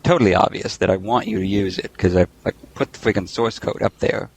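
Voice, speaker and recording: A man's voice: low-pitched, a little fast, vocal fry, slight background noise. A man on a podcast panel, talking casually and rambling with lots of filler words. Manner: rambling, lots of "like" and "you know".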